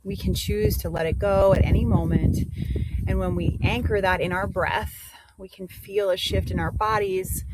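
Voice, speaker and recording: A woman leading a guided meditation, giving gentle instructions with pauses between phrases. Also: on the low side, slight background noise, low-quality recording.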